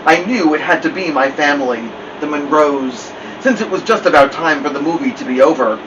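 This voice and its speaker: A man's voice: a little high, fairly quick, close to an everyday voice. A man voicing a wry, dignified old family dog in first-person storybook narration, with formal, digressive wording. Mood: wry, reflective. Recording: muffled, distant, noisy room hum.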